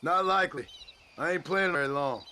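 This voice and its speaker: A man playing a gruff, weary, sardonic American cowboy in a video game, laconic and dry, with an American accent. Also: fairly high pitch, fairly quick, sharp tone, slight background noise. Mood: resigned. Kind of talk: video game cutscene acting.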